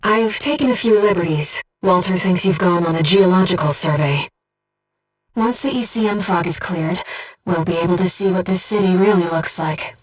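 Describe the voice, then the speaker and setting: A woman playing a gentle, disembodied female mission operator in a video game, earnest and composed, with clearly enunciated tactical callouts. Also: lowish voice, moderate pace, recorded from a distance, lightly acted, poor audio. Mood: soft, earnest. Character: gentle disembodied female voice guiding a mercenary pilot.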